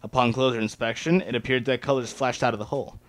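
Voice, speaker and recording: Male amateur story reader, mid-pitched, dramatic narration, very dry recording. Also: steady tone, fast, no echo. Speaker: amateur fan-fiction reader.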